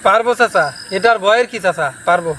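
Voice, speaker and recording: A pleading, insistent young nephew in a horror tale, voiced by a man. He speaks high and fast, eager and stubborn. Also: noisy background, natural voice. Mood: eager, obedient. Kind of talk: horror story character voice.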